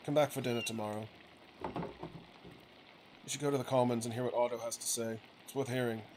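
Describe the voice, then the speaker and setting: A man's voice: medium pitch, steady tone, moderate pace, lightly acted. A man voicing a weary, resentful printer and father from a video game, curt, firm and reproachful, with a righteous streak. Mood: curt, grudging. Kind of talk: acted reading of video game dialogue. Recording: clearly noisy game ambience behind voice.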